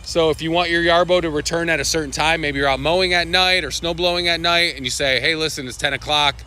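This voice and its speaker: A man's voice: on the high side, fairly even intonation, fast talker, bright, forceful tone, clear, resonant tone. A man hosting a casual live walkthrough of product updates, talking loosely with lots of fillers and restarts. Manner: loose and rambling, with many fillers, restarts and asides. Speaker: livestream host presenting product updates.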